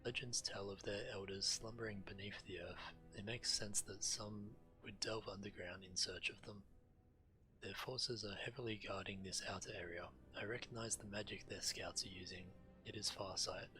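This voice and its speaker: A stern male dragon commander from a video game. His voice sits low and he speaks formally, in measured, businesslike phrases with an edge of impatience. Clear music plays behind him. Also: bright, forceful tone, low-quality recording. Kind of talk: video game quest dialogue.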